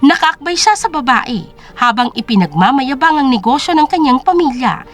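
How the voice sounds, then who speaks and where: High, animated female story narrator. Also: normal speed, faint music behind. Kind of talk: scripted dramatic narration.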